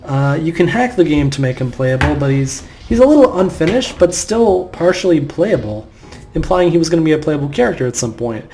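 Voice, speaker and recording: A man's voice: a little high, sing-song, brisk, noisy background. A man giving casual, rambling commentary over a video game playthrough in an offhand way. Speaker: gamer narrating a playthrough. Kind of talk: casual let's play commentary.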